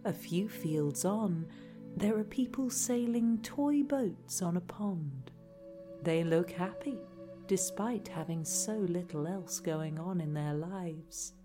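A woman reading a scripted guided relaxation in a soothing, hushed, deadpan way. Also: lowish voice, slow pace. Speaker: narrator, reader. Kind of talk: scripted guided relaxation narration, parody meditation. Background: music.

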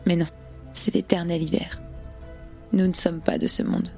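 Low-voiced, passionate young revolutionary woman, played by an actress. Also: expressive, moderate pace, creaky, a little mellow, faint music behind. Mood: wistful, resigned. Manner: earnest, lyrical, intense. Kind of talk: audio drama acting of a stage play.

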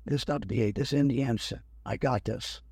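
Older male guest, husky, dry close mic, lively storyteller who acts out the other voices. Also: medium pitch, fast talker, no room sound. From United States. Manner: animated, acts out other people's lines. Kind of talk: storytelling in an interview.

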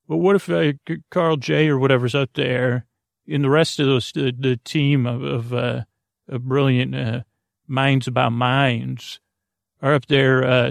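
Man, podcast host, creaky gravelly voice, close dry sound, meandering bedtime monologue, halting and stammering. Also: medium pitch, no room sound. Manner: meandering and digressive, halting with stammers and repeated words.

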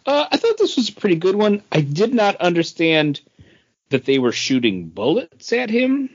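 A man's voice: sits high, big pitch swings, normal speed, slightly rough, no room sound, muffled recording. A man co-hosting a podcast, giving his opinions in casual, conversational review chat. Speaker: comics podcast co-host.

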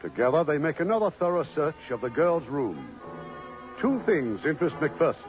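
Male 1950s radio narrator, lively intonation, dull broadcast sound with clear music. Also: on the high side, normal speed. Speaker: announcer and narrator.

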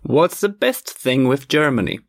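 A man's voice: medium pitch, very animated, a little slow, vocal fry, no room sound, studio quality. A man hosting an interview podcast in a playful, teasing way. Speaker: podcast host.

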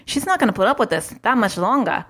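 A woman voicing a gruff male tough guy in a put-on New York accent, scolding in a quick, mock-stern way. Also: mid-range voice, animated, a bit bright. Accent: New York, put on badly.

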